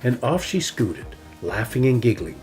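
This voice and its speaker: A man reads a children's picture book aloud, his voice at a middle pitch but rising and falling with lively expression. Soft music plays faintly underneath. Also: normal speed, rough recording. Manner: expressive, animated narration. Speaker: storybook reader. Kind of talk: children's story read-aloud.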